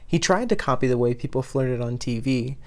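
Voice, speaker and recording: Fiction narrator for an audio story: expressive scripted delivery at a medium pitch. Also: a little fast. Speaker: podfic reader.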